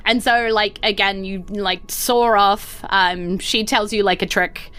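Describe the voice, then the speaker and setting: A woman's voice: medium pitch, steady tone, measured pace, fairly bright tone. A woman with a New Zealand accent narrating a tabletop game as game master in a loose, conversational way. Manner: loose, conversational, hedging with "like" and "sort of".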